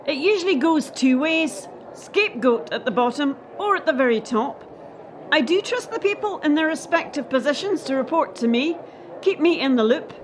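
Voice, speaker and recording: A woman's voice: sits high, lively intonation, normal speed, slight background noise, low-quality recording. A woman playing a defensive, steely head of gymnastics in a radio play, speaking in a firm, clipped, official way. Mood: guarded, firm.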